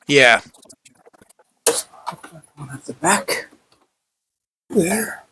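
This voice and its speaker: A man giving casual hands-on commentary as host of a restoration video, halting as he thinks aloud. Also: on the high side, expressive, noisy background. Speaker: host restoring vintage electronics.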